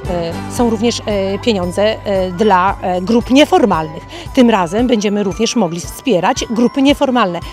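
A middle-aged woman giving an outdoor interview into a handheld mic, speaking haltingly with many filler sounds. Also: medium pitch. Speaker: local development group representative. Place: outdoor festival. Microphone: handheld reporter mic. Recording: music clearly audible behind.

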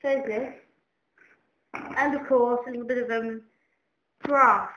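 High-voiced young woman, echoey room. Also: steady tone, a little slow, muffled recording, slight background noise. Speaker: cooking show host. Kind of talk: mock cooking show presenting. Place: home kitchen. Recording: echoey kitchen room sound.

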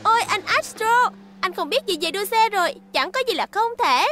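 A woman's voice: very high-pitched, animated, moderate pace, sharp tone, a little hiss or hum, heavily acted. A woman voicing a cheerful, gushing little girl, a starstruck younger sister, in a Vietnamese cartoon dub. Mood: gushing, eager. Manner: gushing, eager.

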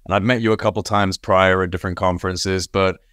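Host, man, 30s; relaxed and conversational, smooth clear voice, dry close webcam sound. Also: deep voice, brisk, no room sound. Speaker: podcast host. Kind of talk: conversational interview. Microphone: video call webcam.

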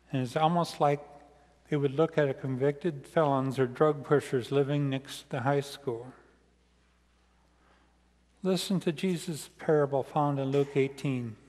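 An older man with an American accent preaching a sermon in measured, deliberate phrases as a pastor. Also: fairly high pitch, a little mellow. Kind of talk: sermon read from notes. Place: large church sanctuary, indoors, seated at the front. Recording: reverberant church hall sound.

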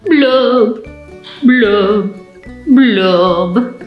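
A woman's voice: on the high side, extremely slow, echoey room, muffled recording, faint music behind. A woman voicing a glum, pouty male fish in a storybook, moping in sing-song rhymes. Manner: mopey, sing-song rhyming.

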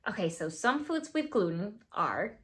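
A woman's voice: mid-range voice, measured pace. A woman in her thirties from Venezuela with a Venezuelan Spanish accent, Spanish her first language, talking playfully to camera in a plain studio as a skincare founder. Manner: playful, animated, drops to asides and whispers. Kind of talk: scripted talk to camera. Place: plain studio backdrop, indoors.

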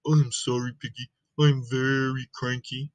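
A man voicing a tired, cranky male elephant in a children's picture book, grumbling deliberately. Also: mid-range voice, flat delivery, no echo, lightly acted. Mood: grumpy. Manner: slow, deliberate, grumbling delivery. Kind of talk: picture book read-aloud.

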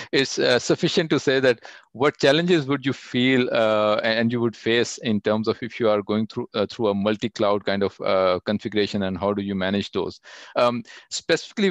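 A man's voice: medium pitch, no echo. A man answering technical questions over a video call, talking with many fillers and restarts. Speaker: guest speaker.

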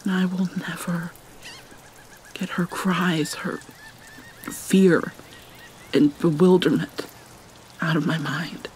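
Woman acting as guilt-ridden mermaid vampire, proud and mournful, slow halting delivery, soft breathy voice, mid pitch, ASMR roleplay, faint watery background noise. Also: fairly even intonation. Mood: anguished, haunted. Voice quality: soft, breathy, often near a whisper. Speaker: roleplay voice actor. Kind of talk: dramatic ASMR roleplay monologue.

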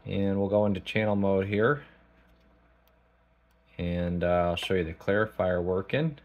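A man giving a casual product demonstration as a technician, thinking aloud in a halting, informal way. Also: deep voice, phone-quality sound. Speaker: radio technician. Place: small indoor electronics workshop.

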